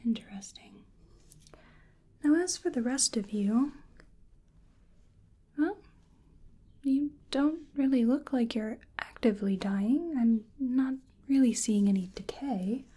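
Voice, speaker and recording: A woman doing a soft-spoken ASMR roleplay as a curious, polite researcher, often dropping into a whisper, with a gentle, musing delivery. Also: on the high side, takes it slowly, long pauses. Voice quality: breathy, whispery. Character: curious, polite female researcher examining a creature.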